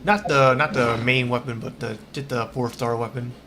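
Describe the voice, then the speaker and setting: A man chatting casually on a livestream while he plays a game, rambling in a halting, repetitive way. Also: mid-range voice, fairly even intonation. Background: game music.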